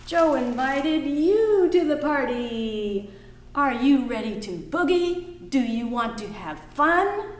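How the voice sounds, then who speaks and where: A woman reading a picture book aloud to small children at a library storytime, singing the refrains. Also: high-pitched. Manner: slow, singsong, animated for small children. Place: library room. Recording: echoey room, faint background noise.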